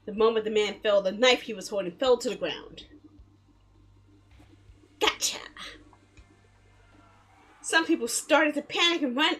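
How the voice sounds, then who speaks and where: A woman streaming a game in a casual, expressive, chatty way, reading story text aloud and reacting to it. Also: fairly high pitch, husky. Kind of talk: casual live let's play, reading visual novel text aloud. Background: background music.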